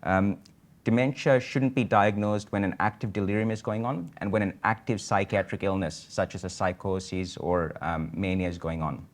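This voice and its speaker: A man giving a conference lecture on a medical topic, explaining in a measured way with frequent filler sounds. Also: lowish voice.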